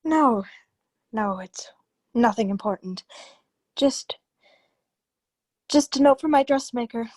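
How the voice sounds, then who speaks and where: A woman's voice: a little high, animated, takes it slowly, long pauses, a little mellow, dry recording. A woman playing an anxious, secretive wife in a radio drama, hesitant and placating as she speaks. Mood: nervous evasion. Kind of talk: old-time radio drama acting.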